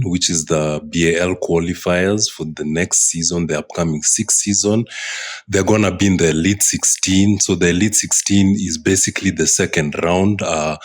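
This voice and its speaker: Deep-voiced excitable host. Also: male voice. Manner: excitable, repeats phrases for emphasis. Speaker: podcast host. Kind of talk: casual podcast chat.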